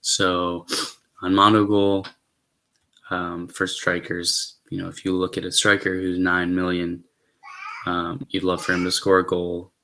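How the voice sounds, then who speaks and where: A man in his thirties, a little hoarse from being sick, giving a casual solo fantasy football rundown into a webcam in a small room, with lots of fillers and throat clearing. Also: low-pitched, completely flat delivery, unhurried, sharp tone. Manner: rambling with many fillers and restarts. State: slightly hoarse from illness. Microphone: webcam at desk.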